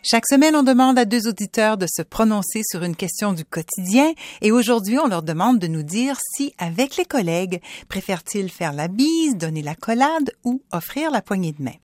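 A woman hosting a radio show, presenting in an expressive, outgoing manner. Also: medium pitch, normal speed, few pauses, dry recording, clean studio sound. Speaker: radio host.

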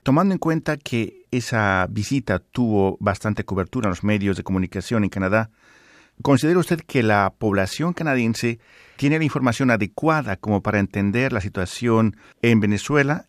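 A man hosting a radio interview, asking measured, formal questions. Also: fairly low pitch, lively intonation, dry recording, studio quality.

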